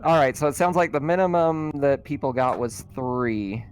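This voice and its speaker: A man's voice: mid-range voice, expressive, normal speed, clear, resonant tone, faint music behind. A man chatting casually as game master of a tabletop roleplaying session.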